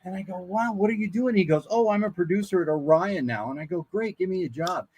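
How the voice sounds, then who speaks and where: A man's voice: high voice, fast, a little mellow, slight background noise. An older American man, a voice actor appearing as a guest, tells casual anecdotes and acts out the quoted dialogue in them.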